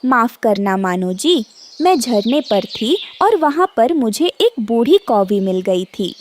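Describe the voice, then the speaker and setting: A woman voicing a kind, polite and caring female bird in an animated Hindi story, sweet and respectful. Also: a little high, slow, slight background noise. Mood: apologetic.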